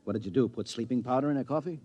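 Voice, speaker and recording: A man's voice: mid-range voice, rapid-fire, dark tone, close to an everyday voice. An actor playing a weary, wisecracking married man, folksy and skeptical, in a 1950s radio drama. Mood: surprised, teasing. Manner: wry, folksy, incredulous. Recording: dull 1950s radio recording.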